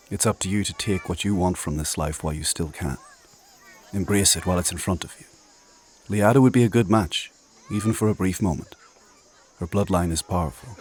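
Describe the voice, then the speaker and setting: A man playing an ancient immortal spirit mentor in a dark fantasy audio drama, speaking in measured, formal, old-fashioned phrases with solemn, knowing authority. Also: deep voice, lightly acted. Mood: grave counsel.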